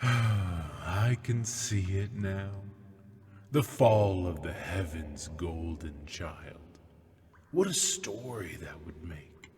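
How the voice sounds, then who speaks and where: A man voicing a smug, cruel imprisoned male demon in an ASMR roleplay, talking in a mocking, breathy half-whisper. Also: sits low, animated, darkish tone, big-room sound, slight background noise, heavily acted. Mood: menacing. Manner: mocking, slow and seductive.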